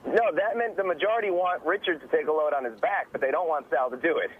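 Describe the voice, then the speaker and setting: A man's voice: a little high, fast talker, over a noisy crowd. A man calling in to a radio show over the phone, arguing his point casually. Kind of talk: casual argumentative phone call. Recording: tinny, narrow phone line, faint noise.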